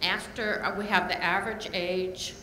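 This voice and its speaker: An older woman, a nursing program director, giving a measured panel talk with frequent pauses. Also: medium pitch, fairly even intonation, sharp tone. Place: lecture hall. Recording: echoey hall, audible room noise.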